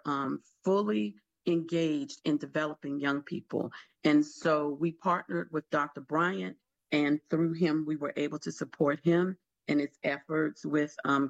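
A man describing his mediation program on a radio talk show, pausing often with fillers. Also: on the high side, creaky, no echo, lo-fi sound. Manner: hesitant, many fillers. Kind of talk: radio interview.

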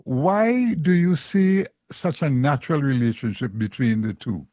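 A man's voice: medium pitch, unhurried, dark tone, dry recording. A man interviewing a musician, asking questions and giving frequent short affirmations.

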